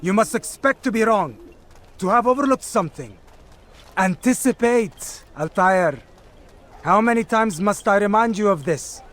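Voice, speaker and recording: An actor playing a stern, disdainful senior assassin in a video game, with a measured, condescending way of lecturing. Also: male voice, high-pitched, expressive, a bit bright. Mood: disdainful, admonishing. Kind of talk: dramatic video game dialogue.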